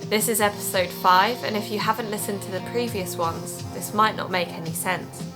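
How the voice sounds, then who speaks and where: An actress playing an earnest woman amateur sleuth who hosts an investigative podcast, narrating calmly. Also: medium pitch, moderate pace, over music, lightly acted. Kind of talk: audio drama narration and dialogue.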